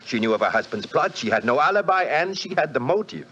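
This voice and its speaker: An actor playing a blunt, stubborn police inspector in a 1940s radio drama. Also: male voice, on the high side, very animated, quick pace, pushed and bright. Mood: insistent. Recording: dull old radio broadcast with faint hiss.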